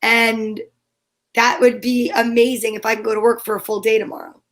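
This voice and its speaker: Female co-host, high voice, casual banter, halting and mock-indignant delivery. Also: flat delivery.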